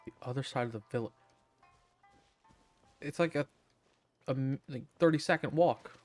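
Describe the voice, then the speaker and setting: Very deep-voiced, sweary young woman streamer. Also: normal speed, darkish tone, no echo, faint music behind. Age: early 20s. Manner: sweary, sardonic, blunt. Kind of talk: casual gameplay commentary.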